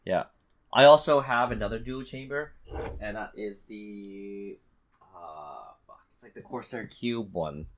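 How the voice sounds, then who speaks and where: A man's voice: fairly low pitch, unhurried. A man chatting casually as a podcast co-host, informal and given to swearing. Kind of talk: casual chat.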